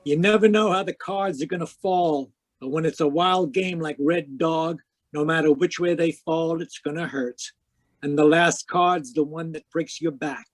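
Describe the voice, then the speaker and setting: An actor playing a world-weary, wisecracking private detective in 1940s radio noir style. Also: male voice, a little high, moderate pace, dry recording, close to an everyday voice. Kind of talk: 1940s radio noir acting, first-person narration. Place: home room, live video call performance.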